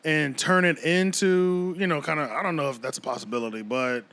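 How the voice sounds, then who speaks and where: A man hosting a podcast in casual chat, animated and emphatic. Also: a little high, fairly quick, clear, resonant tone. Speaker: podcast host.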